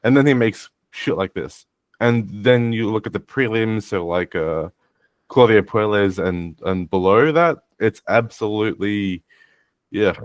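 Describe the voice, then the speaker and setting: A man's voice: fairly low pitch, animated, unhurried, no room sound. Blunt, sarcastic man ranting. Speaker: podcast co-host. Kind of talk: casual ranting chat.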